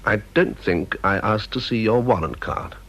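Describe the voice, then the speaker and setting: A man's voice: lowish voice, moderate pace, fairly bright tone, breathy edge, highs cut off. An actor with an English accent playing a brusque, impatient security supervisor and father in a radio drama. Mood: stern, demanding. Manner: brusque, authoritative, dismissive.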